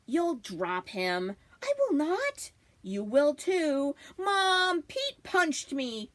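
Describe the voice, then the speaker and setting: A woman voicing excitable, squabbling little boys in a storybook, loud, insistent and whiny. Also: high-pitched, extremely slow, no echo, voice pushed up, exaggerated voice.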